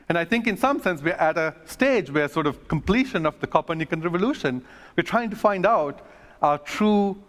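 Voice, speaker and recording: A man who grew up in Sri Lanka, an astronomer speaking earnestly at a conference, pausing with fillers and restarts in the Q&A. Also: on the high side. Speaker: astronomer giving a talk. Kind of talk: stage talk then casual Q&A. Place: conference stage. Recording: long hall reverb.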